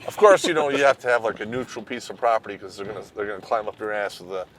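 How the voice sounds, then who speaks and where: A man co-hosting a comedy podcast, excitable and quick to laugh and exclaim. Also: on the low side, a little hiss or hum, poor audio. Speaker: podcast co-host. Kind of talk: casual comedic banter.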